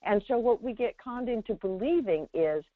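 Animated woman teacher on a phone line. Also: fairly high pitch, normal speed, no echo. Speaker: spiritual teacher on a call-in show. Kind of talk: unscripted teaching conversation by phone. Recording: narrow, dry phone line.

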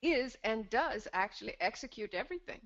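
A woman's voice: fairly high pitch, animated, brisk, a bit bright. A woman hosting a call-in show, speaking in an unscripted, reflective conversational style. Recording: slightly dull, band-limited call audio.

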